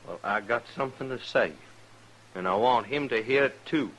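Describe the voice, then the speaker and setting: An actor playing a sly, rough-spoken cowboy villain with a folksy drawl in an old radio western. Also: male voice, mid-range voice, moderate pace, noisy background, lightly acted. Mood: determined.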